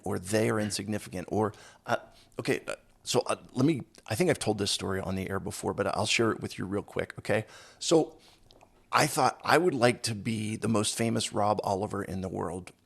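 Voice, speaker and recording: A man hosting a podcast while hoarse from a cold, talking in an upbeat, self-deprecating, joking way. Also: lowish voice, moderate pace. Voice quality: raspy, gravelly. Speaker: podcast host. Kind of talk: casual interview chat.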